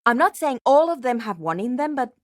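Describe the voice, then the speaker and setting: A woman voice actor playing a driven, intellectual woman programmer in a video game, with a brisk, matter-of-fact, technical way of talking. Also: on the high side, sing-song, dry recording, studio quality. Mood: intrigued, urgent. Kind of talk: scripted game character dialogue.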